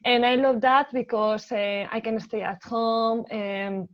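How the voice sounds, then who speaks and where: A woman's voice: a little high, steady tone, slow pace, dull audio. A young woman from Spain with a Spanish accent, answering exam questions hesitantly with many filler sounds. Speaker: exam candidate.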